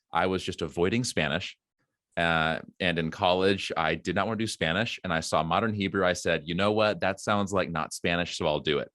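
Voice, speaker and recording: Deep-voiced host with a dry sound. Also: male voice, fairly bright tone, dry recording. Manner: friendly, asks long questions, laughs often. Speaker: podcast host. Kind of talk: casual interview chat.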